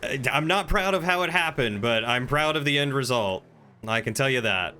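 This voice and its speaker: A man streaming a roleplay game, chatting casually and talking in character in a wry, measured way. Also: medium pitch, animated, pushed and bright, a little hiss or hum. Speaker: game streamer playing a crime boss.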